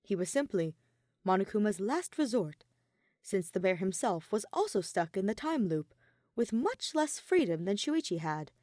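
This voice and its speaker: A woman reading fan fiction as a scripted dramatic narration, with deliberate pauses and emphasis. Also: mid-range voice, big pitch swings, darkish tone, no echo, studio quality.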